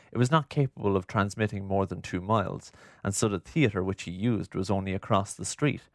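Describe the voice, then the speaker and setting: Deep, gravelly man narrating. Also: no echo, studio quality. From Ireland. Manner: formal, measured, literary delivery. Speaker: documentary narrator and host. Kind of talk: scripted narration. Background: music.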